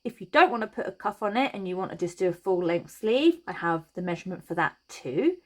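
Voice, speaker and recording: A woman hosting a crochet tutorial, chatty and reassuring as she talks through each step, her voice a little croaky. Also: lowish voice, animated, brisk. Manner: chatty and reassuring, thinks aloud while working. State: slightly croaky voice.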